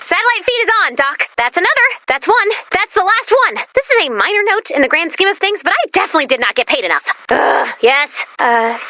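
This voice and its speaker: Young female game robot, perky, quippy, anxious, bright tone, very dry close sound. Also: extremely high pitch, no room sound, heavily acted. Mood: urgent, excited, then anxious, awkward. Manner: expressive, quippy, nervously chatty. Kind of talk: video game character voice lines.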